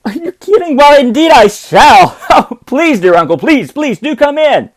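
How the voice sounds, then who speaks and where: A man voicing a delighted young nephew who welcomes a guest with excitement. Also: very high-pitched, normal speed, voice pushed up.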